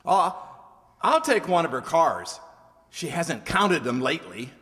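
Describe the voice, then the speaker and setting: A man's voice: on the high side, big pitch swings, measured pace, bright, forceful tone, heavily acted. A man acting a breezy, wisecracking comic character in an old-time radio comedy read from a script. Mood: breezy, joking. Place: stage of a large hall. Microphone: stand microphone. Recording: echoey hall stage performance.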